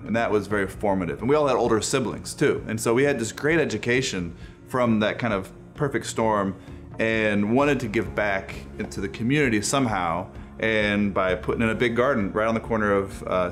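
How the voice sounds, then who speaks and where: Low-pitched man in his thirties. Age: 30s. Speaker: non-profit co-founder. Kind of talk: documentary interview, casual storytelling. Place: indoor room, seated interview. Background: music.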